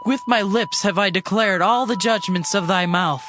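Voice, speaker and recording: A man's voice: high voice, moderate pace, noisy background. A man giving a dramatized reading of scripture. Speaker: scripture reader. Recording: reading over a music bed.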